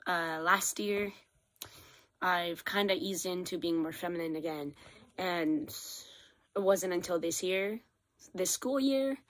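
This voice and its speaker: A teenage girl, hoarse from a cough, talking casually and rambling into a webcam in her small bedroom. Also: fairly low pitch. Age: teens. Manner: rambling, self-correcting, animated at times. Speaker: vlogger. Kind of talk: casual confessional vlog. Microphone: built-in webcam or phone mic, close.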